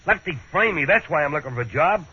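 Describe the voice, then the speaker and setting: An actor in 1940s radio-drama style playing a sullen, stubborn out-of-work husband who grumbles and flares up, on a dull lo-fi old radio recording. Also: male voice, a little high, lively intonation, fast, slightly rough. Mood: angry. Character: bitter out-of-work young husband, stubborn and brooding. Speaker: lead character.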